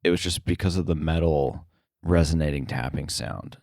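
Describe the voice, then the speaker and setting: A man talking casually as an interview guest, halting often and correcting himself as he goes. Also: rumbling low, gravelly, a little mellow, no room sound, clean studio sound. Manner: halting, filler-heavy, self-correcting. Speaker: podcast guest, sound editor.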